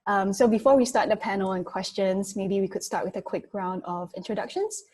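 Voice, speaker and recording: A woman's voice: medium pitch, a little fast, darkish tone, breathy edge. A woman moderating an online business panel, introducing guests and asking questions in a friendly way with many fillers.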